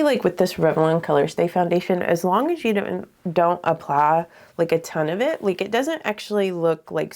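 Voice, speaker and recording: A woman's voice: fairly low pitch, vocal fry, darkish tone. A woman vlogger chatting casually through her makeup routine, sounding slightly congested and nasal, with lots of filler words. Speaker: beauty vlogger. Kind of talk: casual get-ready-with-me talk.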